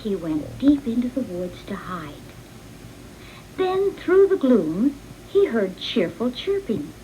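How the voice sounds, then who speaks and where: Female storyteller for children's read-along, very animated and unhurried, noisy recording. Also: on the high side. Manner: expressive, deliberate read-along delivery. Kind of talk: scripted children's storybook narration.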